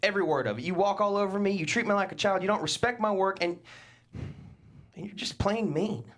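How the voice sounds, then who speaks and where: A man's voice: high-pitched, a little fast, fairly bright tone. An actor playing a meek middle-aged father at a table read, stammering and sheepish, prone to sudden outbursts. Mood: resentful. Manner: stammering, sheepish, bursts out angry. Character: meek middle-aged dad, hobby crafter, Bert.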